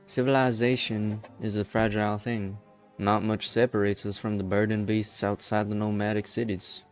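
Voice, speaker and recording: A grim, world-weary leader of desperate outcasts, played by a man, speaks in a fairly low voice. He sounds disillusioned, with dramatic game-story delivery over music. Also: gravelly. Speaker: amateur voice actor. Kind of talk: dramatic fan-dub voice acting.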